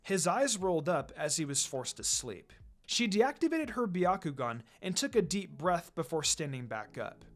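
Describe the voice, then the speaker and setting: A man's voice: a little high, sing-song, moderate pace. A man reading fan fiction aloud as a dramatic scripted narration. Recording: music under the voice. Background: background music.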